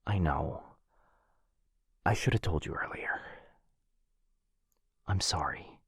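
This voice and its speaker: A man playing an apologetic, protective vampire lord in an intimate boyfriend ASMR roleplay, with a breathy, husky voice that often drops to a whisper and a hesitant, tender delivery. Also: low voice, slow pace, dry recording, studio quality, heavily acted. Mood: remorseful, earnest. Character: apologetic, protective male vampire lord, soft-spoken and earnest.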